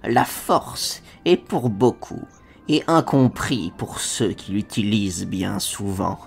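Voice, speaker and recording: A man voicing an ancient little alien sage with a croaky voice, wise and calm, speaking in halting, inverted phrases in a dramatic comic dub. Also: lowish voice, faint music behind, full character voice. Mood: calm, reflective. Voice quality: croaky, gravelly. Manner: inverted word order, halting cryptic phrases.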